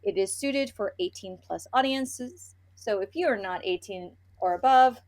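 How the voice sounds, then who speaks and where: A woman's voice: mid-range voice, a little slow, no room sound. A woman co-hosting a podcast, chatting casually about books in a playful, informal, gushing way. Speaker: podcast co-host. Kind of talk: casual book review chat.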